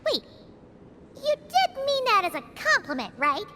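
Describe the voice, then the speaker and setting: A woman voicing a small, excitable fairy-like girl companion in a video game, with a squeaky childlike voice. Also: a little slow, big-room sound, slight background noise, heavily acted. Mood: playful.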